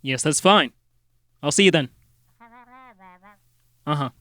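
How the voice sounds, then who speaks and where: Man acting a folksy, higher-voiced amateur detective. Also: pushed and bright, dry recording. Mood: businesslike, halting. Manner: halting, folksy, polite. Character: earnest small-town amateur detective, polite, a little bumbling. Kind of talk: comic radio-drama acting.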